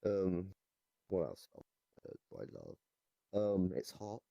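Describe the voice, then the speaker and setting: Very low-voiced, deadpan young man. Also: takes it slowly, gravelly, dry recording, lo-fi sound. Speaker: interviewee in improvised comedy chat. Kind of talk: improvised comedic chat. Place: online voice chat in a virtual reality game.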